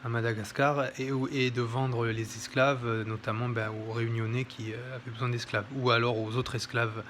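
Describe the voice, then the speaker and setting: A man's voice: medium pitch, level tone, moderate pace, fairly bright tone, a little hiss or hum. A man presenting a history book in an informal, hesitant commentary, with many fillers and self-corrections. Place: home near an airport.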